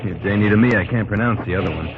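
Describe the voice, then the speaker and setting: An actor playing a blunt, distrustful frontier expedition officer in an old-time radio drama. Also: male voice, fairly low pitch, rapid-fire, noisy background, lightly acted. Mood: suspicious. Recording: tinny old radio recording, loud music behind.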